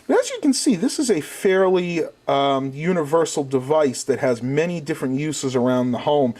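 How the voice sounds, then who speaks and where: A man's voice: fairly high pitch, expressive, moderate pace, darkish tone. A man reviewing a product in a casual hands-on demonstration, explaining things methodically step by step.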